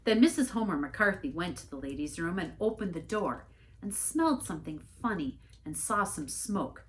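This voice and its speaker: Very animated woman reading a story aloud. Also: on the low side, normal speed, slight background noise, poor audio. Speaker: story reader, church staff member. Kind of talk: expressive storybook read-aloud.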